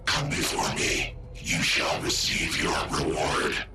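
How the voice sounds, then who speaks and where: An actor voicing an ancient, malevolent war-machine intelligence in a video game, with a booming synthetic voice and terse, commanding pronouncements. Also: male voice, very animated, slow pace, music in the background, heavily acted. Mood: cold, menacing. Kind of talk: video game villain acting.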